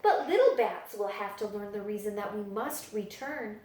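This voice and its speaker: A woman reading children's picture books aloud as a story-time librarian, with dramatic, expressive storytelling. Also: medium pitch, normal speed. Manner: dramatic, animated storytelling delivery. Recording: echoey room.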